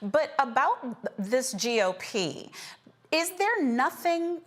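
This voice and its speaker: A woman's voice: high voice, big pitch swings, takes it slowly, fairly bright tone. An American woman hosting a political panel in an informal, playful, colloquial way. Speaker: panel show host.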